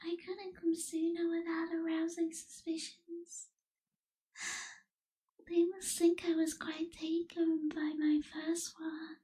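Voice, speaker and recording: A woman playing a gentle, caring young vampire in an intimate ASMR roleplay, soft-spoken and breathy, with tender hesitations. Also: extremely high pitch, little pitch movement, slow pace, low-quality recording. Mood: apologetic, confiding.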